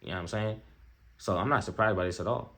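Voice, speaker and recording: A man's voice: fairly low pitch, quick pace, gravelly, a bit bright. An American man giving off-the-cuff reaction commentary in a casual, slangy way, often repeating himself and checking in with the listener. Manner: slangy, repetitive, conversational asides to viewer. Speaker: reaction video host.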